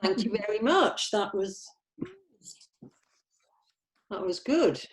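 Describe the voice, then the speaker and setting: A woman's voice: mid-range voice, expressive, takes it slowly, noisy background. A woman reading out stage directions at a script table read.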